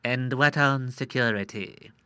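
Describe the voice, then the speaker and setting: A man voicing a shrewd middle-aged moneylender who talks in a slow, measured, coolly persuasive way. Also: mid-range voice, bright, forceful tone, clean tone, no echo, studio quality.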